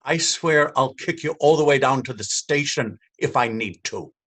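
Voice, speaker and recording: An actor playing a cruel, taunting police officer in a stage drama, with a mocking, menacing drawl. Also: male voice, medium pitch, normal speed, fairly bright tone, close to an everyday voice. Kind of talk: stage readers theater drama.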